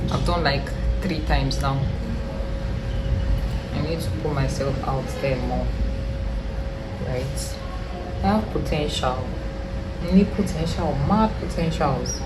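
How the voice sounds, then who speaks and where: A young Nigerian woman with a husky voice and a Nigerian accent, chatting in a rambling, casual way with Pidgin slang while doing her makeup for a vlog. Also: low voice, takes it slowly, pauses often, very noisy. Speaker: beauty vlogger. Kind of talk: casual get-ready-with-me chat. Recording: music playing underneath. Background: music.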